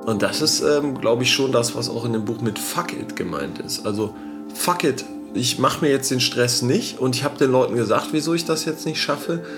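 A man's voice: mid-range voice, moderate pace, music in the background, close to an everyday voice. A man playing a rambling young diarist. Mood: upbeat. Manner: casual, rambling, self-deprecating. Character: restless man in his late twenties keeping an audio diary. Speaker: diarist trying out a self-help book. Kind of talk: radio drama diary monologue.

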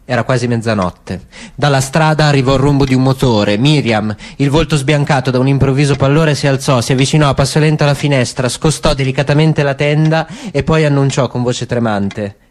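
A man reads a literary narration for a radio theatre program. His voice is mid-pitched, and he reads calmly and evenly. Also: brisk.

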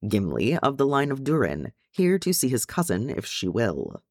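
A man voicing a good-natured young dwarf in an audiobook story, courteous, calm and quietly insistent. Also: medium pitch, normal speed, no room sound, studio quality. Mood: calmly insistent.

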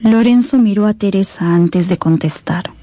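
A woman giving measured, scripted dramatic narration for a radio novel. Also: medium pitch, mellow, dry recording.

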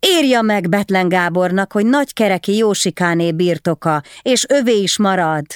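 Stern noblewoman, read by a woman, firm, cool, commanding, slightly raised pitch. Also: lively intonation, clean tone, no echo, studio quality, natural voice. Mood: cold. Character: proud, stern noblewoman wife.

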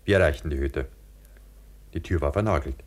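An actor playing a sober, sceptical cable-car worker recalling an avalanche disaster in a German radio play. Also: male voice, extremely low pitch, brisk, a little hiss or hum. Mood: brooding, reflective. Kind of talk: German radio play acting and first-person narration.

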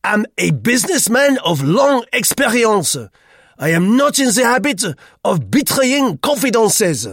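Pompous old french businessman, really high voice, indignant and stern, audiobook style. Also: sing-song, a bit bright, breathy edge, dry recording, clean studio sound, full character voice. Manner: stern, deliberate, declamatory.

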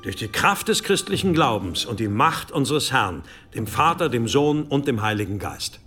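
An actor playing a stern, commanding elderly abbot in a medieval radio drama, admonishing with authority. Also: male voice, medium pitch, very animated, normal speed, pushed and bright, soft background music. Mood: grudging, commanding. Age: older. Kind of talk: German radio drama acting.

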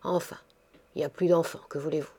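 A bustling old neighbor woman with a gruff, bossy way of fussing over people, as a woman narrator puts her on. Her voice sits a little low, and she gives orders and comfort in the same brusque breath, like a storybook busybody with a soft heart. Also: normal speed, natural voice. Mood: muttering.

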